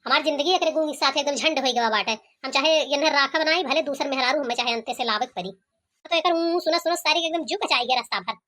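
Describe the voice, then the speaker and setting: A woman's voice: high voice, a little fast, sharp tone. A woman voicing a complaining, stubborn young village groom in an Awadhi-accented cartoon comedy. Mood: exasperated. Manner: complaining, argumentative.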